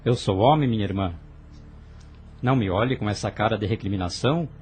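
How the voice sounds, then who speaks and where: A man's voice: lowish voice, brisk, darkish tone, slight background noise, natural voice. A man voicing a young brother in an audio drama, earnest and hesitant as he confesses. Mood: tense. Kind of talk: radio drama acting.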